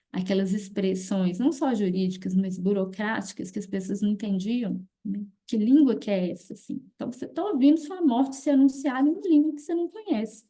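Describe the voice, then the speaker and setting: A woman's voice: mid-range voice, warm tone. Woman giving a reflective academic talk. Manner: reflective, many fillers like "né" and "assim". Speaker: psychoanalysis researcher presenting her dissertation.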